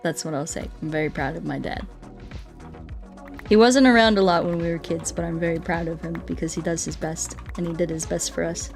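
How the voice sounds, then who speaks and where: A woman chatting casually and telling stories as a streamer, with an expressive, playful delivery. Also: fairly low pitch, fairly quick, faint music behind. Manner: expressive, playful, acts out quoted dialogue. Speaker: game streamer chatting with viewers. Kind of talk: casual chat, storytelling.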